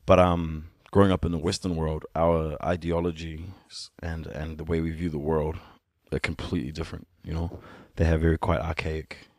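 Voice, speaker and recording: Low gravelly man, relaxed and candid. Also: moderate pace, few pauses, no room sound, studio quality. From born in Sudan, raised in New Zealand. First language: an Ethiopian language. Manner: relaxed, candid, laughing often. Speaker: musician guest. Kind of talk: casual podcast conversation.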